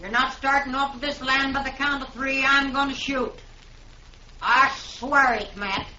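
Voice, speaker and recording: A woman's voice: sits high, fairly even intonation, a little slow, pushed and bright, exaggerated voice. An actress playing a defiant, stubborn woman homesteader who threatens intruders fiercely, in a 1950s radio drama. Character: defiant armed woman homesteader defending her land. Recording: roomy, muffled old radio broadcast.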